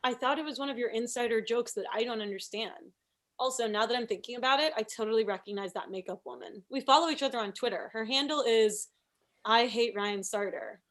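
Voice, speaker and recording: A woman's voice: fairly high pitch, brisk, fairly bright tone, close to an everyday voice. A woman playing an oblivious, meddling show coworker in a comedy table read, chatty and matter-of-fact.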